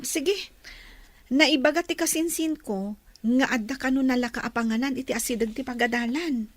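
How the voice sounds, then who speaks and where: A woman's voice: sits high, moderate pace, no echo. A woman playing a chatty, curious young student new to the big city in an Ilocano radio drama. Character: young female student newly arrived in Manila, chatty.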